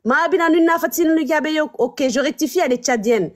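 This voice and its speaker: A woman hosting a live stream, commenting on clips and putting rhetorical questions to her viewers in an animated way. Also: sits high, fairly quick.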